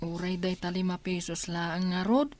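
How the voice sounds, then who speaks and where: A woman's voice: fairly low pitch, steady tone, normal speed, a little mellow, close to an everyday voice. Woman playing a pleading, dutiful schoolchild. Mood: meek, dismayed. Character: pleading, dutiful school-age child eager to study. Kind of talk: Filipino radio drama acting.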